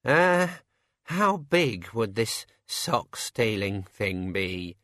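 A man's voice: lowish voice, big pitch swings, fairly bright tone, no echo, clean studio sound, heavily acted. Man doing an anxious, plaintive, drawling senior wizard. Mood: puzzled, hesitant. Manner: slow, hesitant, moaning.

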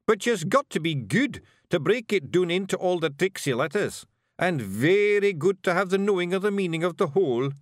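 A man voicing a small, blustering male fairy clan chief in a broad Scottish accent, gruff and boastful. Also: sits high, very animated, dry recording, clean studio sound, pitched above the performer's own voice. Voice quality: gruff, boastful, quick to bristle.

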